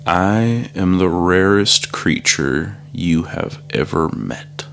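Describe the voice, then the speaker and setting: Man playing a mysterious old stranger, very deep voice, gravelly, slow whispery delivery, storybook. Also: expressive, a little hiss or hum, exaggerated voice. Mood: hushed. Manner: slow, hushed, drawn-out speech, dropping to a whisper.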